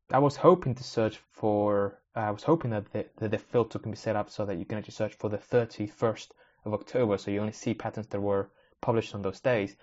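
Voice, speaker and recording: A man whose first language is Spanish, hosting a casual solo podcast, thinking aloud in a rambling, wry way that often breaks off mid-sentence. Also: fairly low pitch. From Spanish-speaking country, moved to the UK at age ten. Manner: rambling, self-interrupting, wry. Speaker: podcast host. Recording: slightly dull, lo-fi recording.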